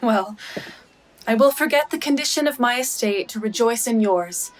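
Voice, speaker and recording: A young woman playing a witty, slightly wistful noblewoman, with measured, wry Shakespearean delivery in a radio play. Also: fairly high pitch, lively intonation, slight background noise, close to an everyday voice, rough recording. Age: young adult.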